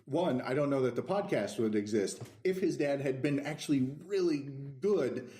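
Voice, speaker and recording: Mellow man chatting in an echoey room. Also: medium pitch, lively intonation, moderate pace. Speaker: podcast co-host. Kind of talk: casual podcast chat.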